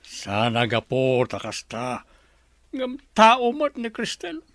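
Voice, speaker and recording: A man as a creaky, grumbling old grandfather. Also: medium pitch, huge pitch swings, slow, a bit bright, no echo, studio quality, full character voice. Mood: grumbling, reproachful. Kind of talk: Ilocano radio drama acting.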